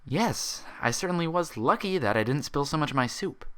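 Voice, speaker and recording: A man's voice: a little high, lively intonation, brisk, a bit bright, pitched above the performer's own voice. A man voicing a bookish, irritable young female pony in a story reading, strained and sarcastic. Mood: bitter sarcasm. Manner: strained, self-controlled, sarcastic. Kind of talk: dramatized fan fiction reading.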